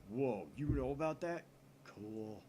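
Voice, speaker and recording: A man voicing a laid-back young male hacker friend who talks in an offhand, low mumble. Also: lively intonation, slow, mellow, slight background noise. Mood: explaining.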